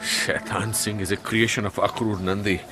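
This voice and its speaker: An actor playing a pompous, fretful middle-aged writer with an Indian accent, the comic sidekick in a radio drama. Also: male voice, fairly low pitch, flat delivery, normal speed, close to an everyday voice. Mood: indignant.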